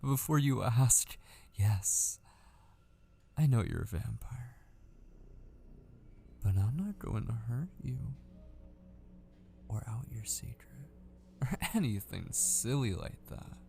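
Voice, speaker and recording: A man playing an obsessive, possessive kidnapper in an intimate ASMR roleplay, gleefully menacing and patient, slow and teasing, drifting toward whispers. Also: very animated, warm tone, a little hiss or hum, full character voice. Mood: gloating, playful menace. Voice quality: low, creaky.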